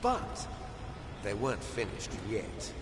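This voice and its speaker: An actor playing a proud, resentful villain soldier in a video game cutscene, delivering grandiose monologues with dramatic pauses. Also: male voice, on the low side, huge pitch swings, full character voice. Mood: bitter. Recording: echoey hall reverb with background ambience noise.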